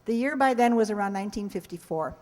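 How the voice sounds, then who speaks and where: A woman's voice: mid-range voice, fast talker, background noise. A middle-aged woman introducing a live show from a script, folksy and lightly joking. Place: hall stage before an audience. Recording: reverberant hall, live stage recording.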